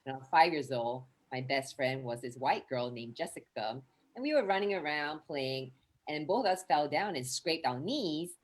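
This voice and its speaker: A woman doing stand-up in a crisp, punchy way. Her voice sits very low for a woman. She delivers the set straight to the crowd. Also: expressive, normal speed. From Singapore. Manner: crisp punchlines, big exaggerated impressions. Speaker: stand-up comedian. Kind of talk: stand-up set over video call.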